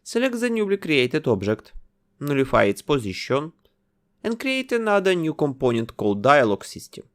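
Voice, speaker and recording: A man narrating a step-by-step software tutorial, explaining each action methodically as he goes. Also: a little high, huge pitch swings, normal speed, smooth. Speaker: tutorial host.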